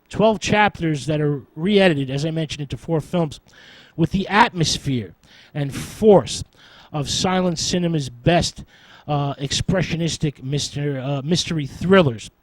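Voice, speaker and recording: Male radio host, somewhat high voice, scholarly and formal film commentary, halting delivery, very dry recording. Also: no echo, low-quality recording. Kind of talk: scripted film history commentary.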